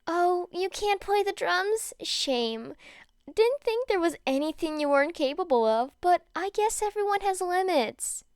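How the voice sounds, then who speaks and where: A woman's voice: very high-pitched, animated, unhurried, dry recording, studio quality, voice pushed up. A woman voicing a coy, sly teenage girl who goads with mock innocence in a story reading. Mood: coy, teasing. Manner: mock-innocent, sweetly goading.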